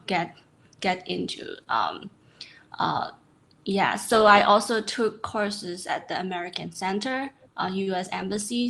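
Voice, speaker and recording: A young woman from Myanmar, a scientist answering interview questions in a webinar, pausing often with fillers. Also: on the low side, gravelly, a bit bright. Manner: hesitant, many fillers. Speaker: guest scientist.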